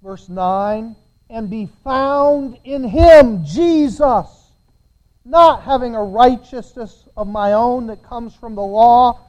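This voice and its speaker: A woman preaching a sermon, quoting scripture with emphatic, dramatic pauses and swings from hushed to loud. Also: on the high side, lo-fi sound. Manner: repeats phrases for emphasis.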